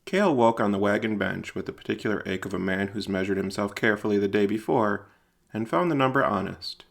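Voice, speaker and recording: Male narrator for audio fiction: low, gravelly with fry, measured and deliberate. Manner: measured, deliberate, literary delivery. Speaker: narrator and writer-performer. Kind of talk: scripted audio drama narration.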